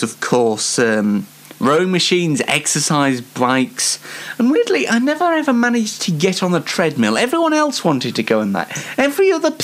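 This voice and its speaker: A young British man telling casual anecdotes as a show host, in a chatty, rambling and self-deprecating way. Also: on the high side. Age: young adult.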